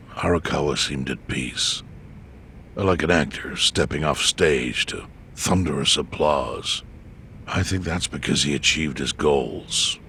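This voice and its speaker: An elderly yakuza chairman in a game cutscene. The voice is extremely deep and full of gravel. He speaks with weary gravity, deliberate and composed. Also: a bit bright, a little hiss or hum, heavily acted. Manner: measured, solemn, deliberate. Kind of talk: dramatic game voice acting.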